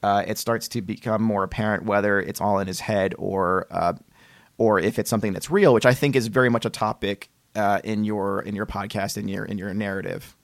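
Male podcast host, lower register, casual interview chat, dry recording. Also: fairly even intonation, a little fast, gravelly, dry recording.

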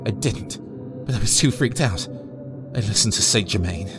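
A man's voice: on the low side, expressive, normal speed, music in the background. A man narrating a scripted first-person horror story in a dramatic, tense storytelling manner.

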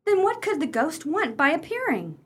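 A woman's voice: very high-pitched, sing-song, moderate pace. A woman acting a sceptical, genteel lady and loyal sister in a radio play, formal and arch.

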